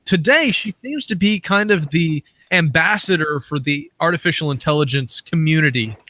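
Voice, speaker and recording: A man's voice: a little high, expressive, normal speed, sharp tone, dry recording, studio quality. A man hosting an interview, setting up questions for his guest in a conversational style. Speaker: host, interviewer.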